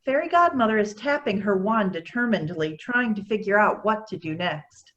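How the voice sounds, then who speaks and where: A woman's voice: medium pitch, lively intonation, moderate pace, a bit bright, dull audio. A woman reading stage directions as scripted narration for a play.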